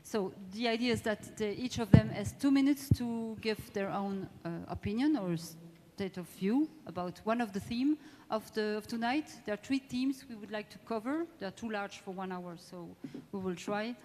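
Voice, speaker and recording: Mellow French-accented woman moderating in a hall. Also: medium pitch, a little hiss or hum. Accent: French-speaking Belgian. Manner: halting, searching for words in non-native English. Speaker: debate moderator. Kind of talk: live panel introduction at a conference. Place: large indoor conference hall, amplified. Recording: long echo of a conference hall.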